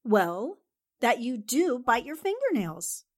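A woman acts out a self-assured lady columnist from a 1940s radio play. Her voice is fairly high and animated, with measured timing and a teasing, faintly exasperated edge. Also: full character voice. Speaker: actor in an amateur radio drama re-creation.

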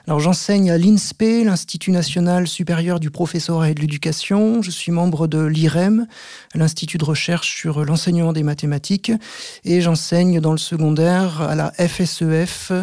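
A man who teaches maths, answering interview questions in a measured, step-by-step way with frequent hesitant pauses. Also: fairly high pitch, darkish tone, dry recording, studio quality. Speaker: maths teacher, podcast guest.

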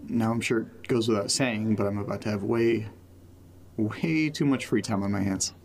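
A man's voice: fairly low pitch, normal speed, a little mellow, a little hiss or hum, close to an everyday voice. An actor playing a rambling, self-deprecating young man recording a casual, joking audio diary. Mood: wry, upbeat. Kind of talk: found-footage audio drama, casual podcast monologue.

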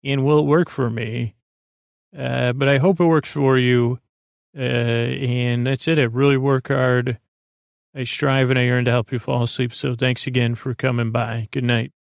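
A man hosting a bedtime podcast in a creaky voice, rambling in a meandering, hesitant way with frequent stammers. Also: mid-range voice, level tone, a little slow, no echo, studio quality. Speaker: podcast host.

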